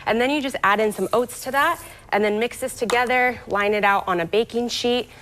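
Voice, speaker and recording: A woman's voice: a little high, fairly quick, fairly bright tone. A woman guest on a TV lifestyle show, upbeat and explanatory as she walks through health tips in a studio. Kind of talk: TV morning show interview.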